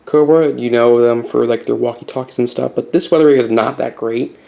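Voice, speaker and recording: A man's voice: medium pitch, fairly even intonation, brisk, vocal fry, warm tone. A man giving a casual, unscripted show-and-tell as a hobbyist video host, rambling a bit and correcting himself with mild enthusiasm.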